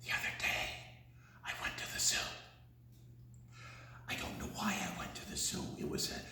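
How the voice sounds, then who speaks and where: A man's voice: moderate pace, pushed and bright, in a whisper. A man telling a rhyming children's story playfully, stretching words into singsong and dropping in chatty asides and animal noises. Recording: very echoey room.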